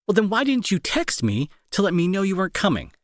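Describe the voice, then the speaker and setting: Man acting a irritable young bully, wildly swinging pitch. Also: wildly swooping pitch, fairly quick, no echo, clean studio sound. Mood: irritated, demanding. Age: young adult. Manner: sarcastic, scolding, exasperated. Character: irritable young male bully, gruff but secretly worried. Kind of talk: intimate roleplay audio drama acting.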